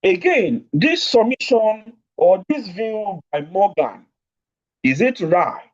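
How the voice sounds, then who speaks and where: A man lecturing a law class online, stretching out key words with deliberate emphasis. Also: high voice, big pitch swings. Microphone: remote call over network. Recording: dull lo-fi online audio.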